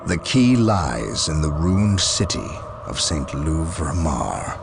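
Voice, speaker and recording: A man's voice: rumbling low, very animated, takes it slowly, creaky, music in the background, exaggerated voice. A man voicing a stern, righteous warrior commander in a video game, with an earnest, clipped, commanding delivery. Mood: briefing, resolute. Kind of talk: dramatic military game acting.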